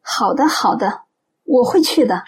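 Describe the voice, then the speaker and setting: A woman acting a kindly, earnest middle-aged auntie in a radio drama, speaking in gentle, encouraging praise. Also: a little high, expressive, a little slow, breathy edge, heavily acted. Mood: warm farewell.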